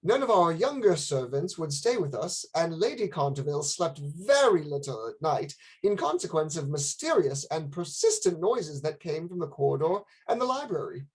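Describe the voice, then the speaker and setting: A grave lord in a radio drama. The voice is husky and widely inflected, with courteous, stately phrasing and a formal manner. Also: male voice, fairly high pitch, very animated, moderate pace, few pauses. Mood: solemn warning. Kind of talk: radio play acting.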